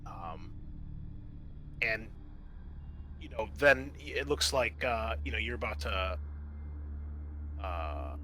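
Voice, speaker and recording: Man, game master, bright forward voice, informal halting narration, improvised storytelling. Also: lowish voice, lively intonation, a little hiss or hum. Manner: halting, informal, groping for words.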